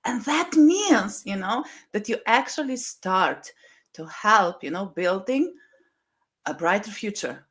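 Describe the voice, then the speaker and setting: A woman's voice: mid-range voice, expressive, slow, a bit bright. A woman speaking as a guest over a video call, talking in a flowing way with many "you know" fillers. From Slovenia. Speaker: guest, mindset coach. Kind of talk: casual interview talk.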